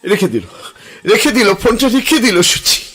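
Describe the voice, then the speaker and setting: A man's voice: high-pitched, lively intonation, normal speed, a bit bright, soft background music. An actor playing a haunted young man who desperately pleads with the woman he believes he loved in a past life. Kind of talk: radio drama acting.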